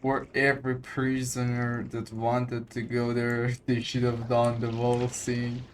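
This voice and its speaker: A man with a medium-pitched voice gives casual gameplay commentary as a streamer. He talks slowly, with faint noise in the background. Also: completely flat delivery. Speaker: gaming streamer.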